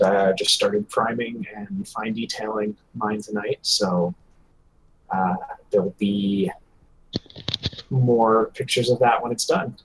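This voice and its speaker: A man talking casually as a podcast co-host, in a halting, hesitant delivery. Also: on the low side, background noise. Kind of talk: casual hobby podcast chat.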